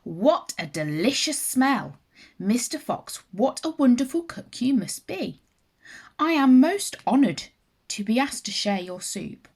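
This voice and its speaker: A woman voicing a courteous lady stork in a children's fable, formal and effusively polite with quiet cunning. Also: on the high side, very animated, a little slow, husky, voice pushed up, full character voice. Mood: admiring.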